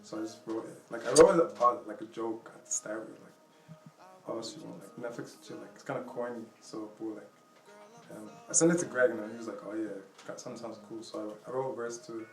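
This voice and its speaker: A man's voice: on the low side, steady tone, brisk. A young man from Nigeria, one of a music duo, telling stories in a laid-back, rambling way. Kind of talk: casual interview talk. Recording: distant, roomy sound with music behind.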